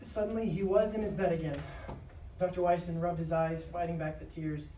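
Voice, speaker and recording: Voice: medium pitch, fairly even intonation, fairly quick, warm tone. A narrator reading scripted dramatic narration live on stage. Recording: echoey live stage, distant, clear noise.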